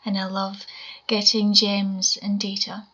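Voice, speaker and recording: Scottish woman, 40s, quiet voice, bright tone, explaining slides over a muffled webcam mic. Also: mid-range voice, monotone. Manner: measured, explanatory presenting. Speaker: conference speaker. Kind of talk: recorded conference talk over slides. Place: home room, indoors. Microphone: webcam video call. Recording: dull lo-fi webcam audio.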